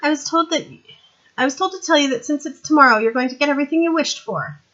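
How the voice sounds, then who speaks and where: Lady reader doing a high perky young woman, cheeky and brisk, storybook character, bit muffled. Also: a bit bright. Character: chirpy young woman relaying cheeky messages to a watch sergeant.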